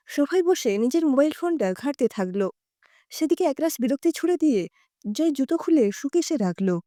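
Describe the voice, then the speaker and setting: Woman reading an audio story, animated, soft mellow tone, dry close sound. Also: high-pitched, no echo. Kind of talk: expressive scripted audio story narration.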